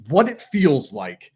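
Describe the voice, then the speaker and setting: Relaxed man reading fan questions. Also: a little high, slightly rough. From French Canada. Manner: relaxed, reads questions aloud with stumbles. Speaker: wrestler and co-host. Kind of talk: casual chat answering fan comments.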